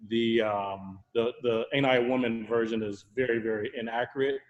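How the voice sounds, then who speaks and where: A man's voice: medium pitch, steady tone, normal speed, lo-fi sound. A man on a video call asking questions politely, often restarting his sentences. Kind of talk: casual video-call conversation. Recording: echoey room with long reverb.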